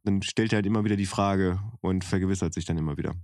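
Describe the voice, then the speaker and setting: Ein Mann, der locker und zögernd mit vielen Füllwörtern über ein Buch und ein Hörspiel plaudert. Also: male voice, sits low, steady tone, quick pace, darkish tone, dry recording, clean studio sound. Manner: hesitant, many fillers and restarts. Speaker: podcast co-host. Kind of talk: casual chat about a book and audio drama.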